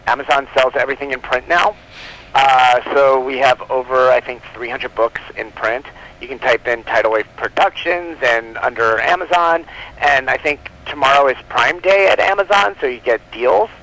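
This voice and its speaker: A man with a mid-pitched voice chats as a guest on a live telephone interview. He is enthusiastic and talkative, explaining his publishing work in a loose, casual way. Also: moderate pace, bright, forceful tone. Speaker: guest, comic book publisher. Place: on a telephone line. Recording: live telephone call on radio show.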